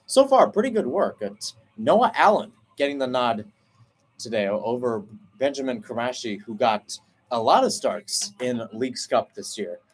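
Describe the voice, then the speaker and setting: A man doing radio play-by-play for a soccer match, talking steadily through the passing and breaking off to explain tactics, then jumping up in pitch when a chance opens. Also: big pitch swings, breathy edge. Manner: brisk flowing call, rising sharply on chances; self-correcting, explains tactics between plays. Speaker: play-by-play commentator.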